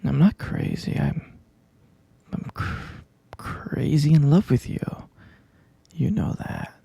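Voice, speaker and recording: A man voicing an obsessive, possessive young captor in an ASMR roleplay, whispering softly and calling the listener pet names. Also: a little high, lively intonation, slow, no echo, exaggerated voice. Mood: obsessive, doting. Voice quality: gravelly whisper.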